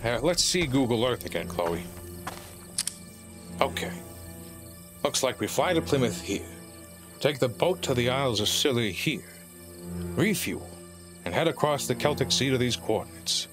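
A man's voice: medium pitch, expressive, normal speed, long pauses, over music, lightly acted. An older man playing a weary, fatherly pilot and fixer, in a fan audio drama. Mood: planning.

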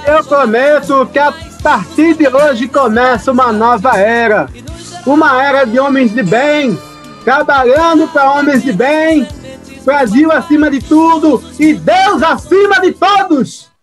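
A man's voice: very high-pitched, unhurried, a bit bright, faint music behind. A man playing a pompous newly elected politician, declaiming a victory speech in an audio drama. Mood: bombastic.

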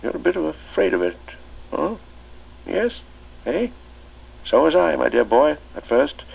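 Guy doing a sweet old schoolmaster, way higher and louder than his own voice, gravelly, full of little ums and uhs. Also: sing-song, measured pace, full character voice, rough recording. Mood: kindly. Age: older. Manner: hesitant, with many ums and uhs. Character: elderly retired male schoolmaster, genial and kindly.

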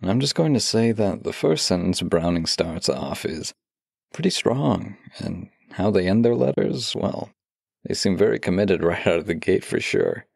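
A man acting a devoted romantic partner in an intimate audio drama, with a gravelly, husky voice, speaking heartfelt words close to the mic. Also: deep voice, lively intonation, moderate pace, darkish tone, no room sound, exaggerated voice. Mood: tender, teasing. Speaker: voice actor reading love letters. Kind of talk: intimate audio drama, slow heartfelt letter reading and banter.

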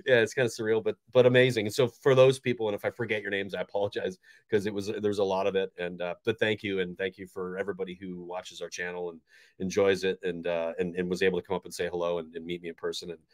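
A man's voice: low voice, level tone, fast talker, dry recording. A man in his forties, a fan channel host, chatting casually and enthusiastically about a match with lots of restarts. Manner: enthusiastic, rambling with many restarts. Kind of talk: casual post-match chat. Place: bright bedroom indoors.

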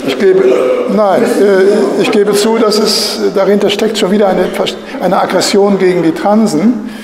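A man's voice: high voice, fairly even intonation, unhurried, a crowd in the background. An older man giving an opinionated lecture from a lectern, with frequent fillers and tag questions. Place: bright lecture room, indoors. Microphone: studio mic on a stand at a lectern. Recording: reverberant room, light hiss.

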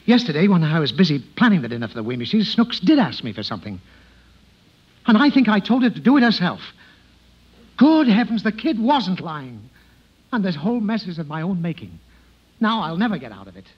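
Flustered father, 1950s radio comedy, very lively intonation, dull slightly noisy recording. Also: high voice, a little fast, husky, heavily acted. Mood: rueful. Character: flustered, exasperated, pleading suburban father.

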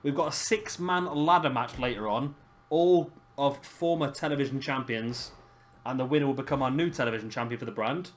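A hoarse man, hosting and casually commentating a wrestling video game. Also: medium pitch. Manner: chatty, laughing, swears casually. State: hoarse, lost his voice. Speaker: host of a gaming channel.

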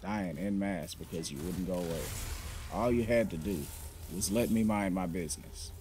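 A man's voice: sits low, moderate pace, background noise. A young man giving sarcastic, trash-talking commentary while playing a game. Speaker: gamer. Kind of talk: gameplay commentary.

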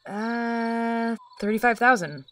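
A woman's voice: fairly high pitch, slow pace, recorded from a distance. A cheeky curious teen girl. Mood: eager. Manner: eager, cheeky. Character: bold curious teenage girl student. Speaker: student.

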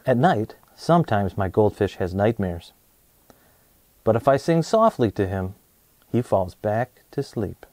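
A man in his thirties presenting and reading a children's storybook aloud in an expressive way, speaking to young listeners. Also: on the low side, a little slow, no room sound. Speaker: host of a children's read-aloud show.

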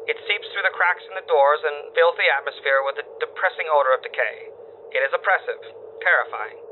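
A man's voice: a little high, slight background noise. A man playing a grave wire reporter of doom, reading dramatic news bulletins in a horror audio drama through a radio-like filter. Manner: solemn, dramatic emphasis. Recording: thin, phone-like radio transmission.

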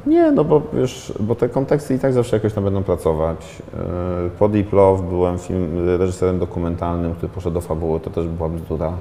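A man in his thirties speaks in a very low, dark and mellow voice, weighing each thought with pauses, fillers and restarts. He is a film director answering questions on a studio set in a glass-walled hall. Faint background hiss sits under his lapel-mic sound. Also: expressive. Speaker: film director, interview guest. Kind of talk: reflective interview talk.

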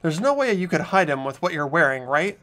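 A man playing an earnest, anxious teenage schoolboy in an anime game fan dub, with an expressive, worried delivery. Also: a little high, a little fast. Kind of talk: visual novel fan dub voice acting.

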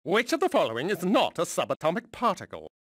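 An actor voicing a megalomaniac mutant genius supervillain in a video game, condescending, mocking and theatrical like a cruel schoolmaster. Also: male voice, high-pitched, huge pitch swings, fast, fairly bright tone, dry recording, studio quality, exaggerated voice. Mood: smug quizmaster. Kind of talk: theatrical cartoonish game voice acting.

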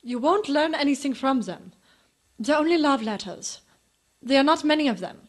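Woman acting a guarded young woman, higher voice. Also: expressive, moderate pace. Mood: pleading. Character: guarded, sorrowful young Central European woman in love. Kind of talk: radio drama acting.

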